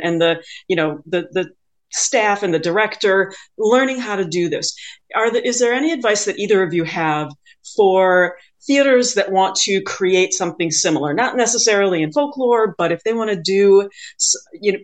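A woman with a medium-pitched voice hosts a casual interview. Her phrasing is tentative and halting as she builds toward a question or point. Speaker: podcast host, interviewer.

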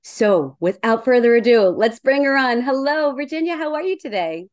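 A woman's voice: fairly high pitch, expressive, normal speed, a little mellow. A woman hosting a podcast, reading an upbeat scripted intro and then asking encouraging interview questions. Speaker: podcast host.

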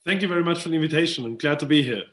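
A man's voice: a little high, very fast. A young man in his twenties with an Austrian German accent, speaking English as a non-native, answering as a radio guest in a hesitant way with many restarts. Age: 20s.

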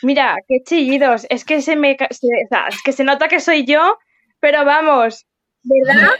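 A woman's voice: high voice, moderate pace, fairly bright tone, no echo. A woman chatting colloquially as a guest, often repeating herself, on a slightly lo-fi recording. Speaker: podcast guest. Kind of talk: casual chat.

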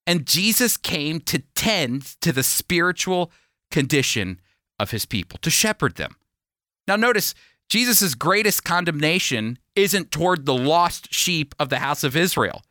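Bright-voiced man teaching the Bible on radio. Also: fairly high pitch, very animated, a little slow, dry recording, clean studio sound. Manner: emphatic, explanatory, preacherly.

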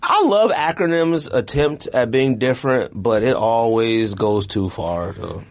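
A man's voice: medium pitch, measured pace. A man with an American accent co-hosting a sneaker podcast, chatting casually in a slangy, playful, joking way. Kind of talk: casual banter about sneakers.

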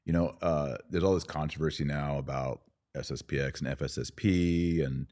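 A man's voice: rumbling low, steady tone, normal speed, darkish tone. A man in a casual podcast conversation, hosting and talking in a reflective, anecdotal way. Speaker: podcast host.

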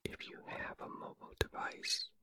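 A man hosting a sleep relaxation podcast in a breathy whisper, speaking in a gentle, soothing ASMR style. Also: moderate pace. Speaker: podcast host and relaxation guide.

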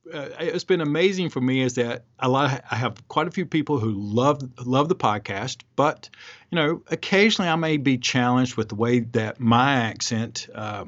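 A man hosting a podcast interview, speaking slowly and thoughtfully in a relaxed, agreeable way. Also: medium pitch. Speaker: podcast host. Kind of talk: casual interview conversation. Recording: muffled audio.

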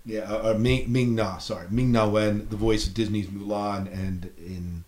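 Halting, casual man hosting a podcast. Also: on the low side, fairly even intonation, darkish tone. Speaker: podcast host. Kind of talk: casual panel chat.

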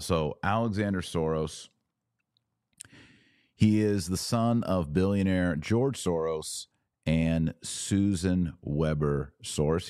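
A man hosting a podcast, giving conversational news commentary in a deliberate, emphatic way with drawn-out pauses. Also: low voice, a little mellow, no echo, clean studio sound.

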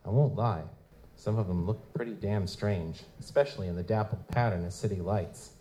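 A man as a world-weary, mellow noir private eye. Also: lowish voice, moderate pace, close to an everyday voice. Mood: brooding, wry. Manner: wry, slangy, hard-boiled. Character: world-weary male lutin private investigator, gruff and cynical. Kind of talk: noir detective narration in live audio drama. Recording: live convention performance, clear background noise.

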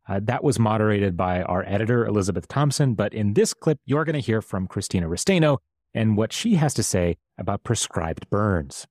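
A man's voice: fairly low pitch, darkish tone, dry recording, clean studio sound. A man co-hosting a news podcast, eager and talkative as he sets up clips. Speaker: podcast co-host. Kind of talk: casual podcast chat.

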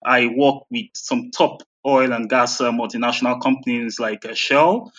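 A man's voice: medium pitch, steady tone, moderate pace, fairly bright tone. Nigerian man as guest, dull lo-fi sound. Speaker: interview guest, health and safety coordinator. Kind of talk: conversational interview answers.

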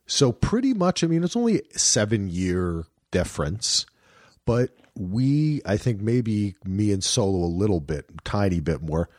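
A man's voice: fairly low pitch, big pitch swings, measured pace, darkish tone, dry recording, clean studio sound. A man in his late forties hosting a casual sports podcast chat, rambling with frequent asides. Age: 40s. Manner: chatty, rambling, many "you know what I'm saying" asides.

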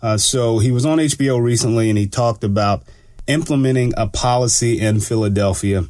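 A man hosting his own radio talk show, sounding a bit stuffy from allergies and talking in a relaxed, rambling way with lots of fillers. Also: mid-range voice, a little fast, dry recording, studio quality. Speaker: radio show host and law enforcement analyst. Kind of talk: casual solo radio talk.

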